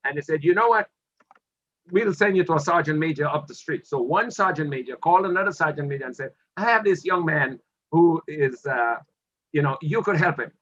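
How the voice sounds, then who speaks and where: A man's voice: fairly high pitch, fairly quick, lo-fi sound. An older man from Dominica with a Dominican accent, telling stories from his army career in an interview and acting out the dialogue. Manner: animated storyteller who acts out quoted exchanges. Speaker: interview guest, retired army officer. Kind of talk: storytelling interview.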